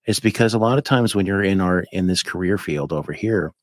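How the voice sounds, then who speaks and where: A man in his fifties with a fairly low voice, talking as a podcast guest. He rambles, jumps between thoughts and fills gaps with "like" and "you know." The sound is dry and close, with little room behind it. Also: a little fast, no room sound. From United States. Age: 50s. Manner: rambling, animated, with many fillers. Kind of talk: casual chat.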